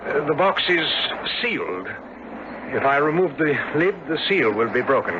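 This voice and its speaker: An actor playing a nervous, evasive gentleman in a 1970s radio drama, hesitant, formal and apologetic in manner. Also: on the high side, sing-song, moderate pace. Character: Cornelius Wyatt, nervous, evasive gentleman hiding a secret. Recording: muffled, noisy old radio recording.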